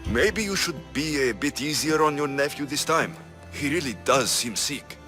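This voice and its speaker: An actor playing a capable, earnest Greek mercenary hero in a video game cutscene. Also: male voice, a little high, expressive, moderate pace, sharp tone, soft background music. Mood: calm, sincere.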